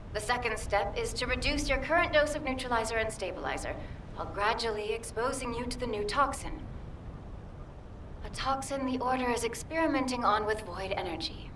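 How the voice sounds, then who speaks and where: A high, expressive woman's voice as a protective, reproachful scientist in a dramatic video game. She speaks with earnest care and real feeling. A faint rumbling noise sits behind her. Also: rough recording. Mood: resigned, reproachful.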